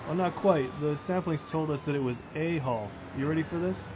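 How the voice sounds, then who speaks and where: A man's voice: on the high side, moderate pace, background noise, lightly acted. A man playing a matter-of-fact police officer in an amateur radio play. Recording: loud outdoor street ambience with police sirens. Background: sirens and street ambience.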